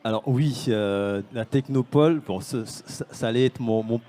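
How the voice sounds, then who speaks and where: A man's voice: medium pitch, normal speed, warm tone. A man from Senegal, a startup founder, speaking on a conference panel with many fillers and restarts. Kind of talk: panel talk.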